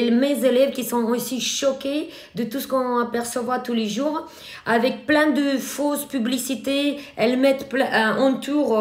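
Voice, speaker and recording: Female trainer, Ukrainian accent, higher pitch, hesitant live-stream delivery, roomy echo. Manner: hesitant, many filler sounds and restarts. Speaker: eyelash extension trainer. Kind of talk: live online workshop talk. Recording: echoey room.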